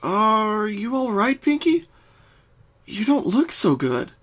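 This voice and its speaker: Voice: medium pitch, big pitch swings, takes it slowly, fairly bright tone, pitched above the performer's own voice, heavily acted. A performer voicing a caring, bookish young female unicorn pony who speaks earnestly and precisely in a cartoon fan reading. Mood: concerned. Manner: concerned, precise, articulate.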